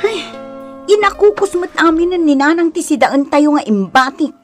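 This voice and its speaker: Very high-voiced, aggrieved Ilocano wife. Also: female voice, expressive, normal speed, faint music behind. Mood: indignant. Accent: Filipino, Ilocano. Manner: plaintive, protesting. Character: aggrieved young wife, resentful of her in-laws. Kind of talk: radio drama acting.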